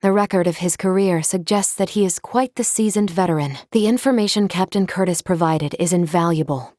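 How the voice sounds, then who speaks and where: A woman voicing a composed, dutiful android crew member in a video game, speaking in a measured, formal, precise way. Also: fairly low pitch, dark tone, dry recording, clean studio sound, lightly acted. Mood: calm, measured. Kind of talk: scripted video game character lines.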